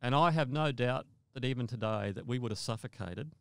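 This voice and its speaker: A man's voice: medium pitch, a little fast, smooth, no room sound, clean studio sound. An older man telling a first-person story conversationally as a podcast guest, often repeating and rephrasing his words.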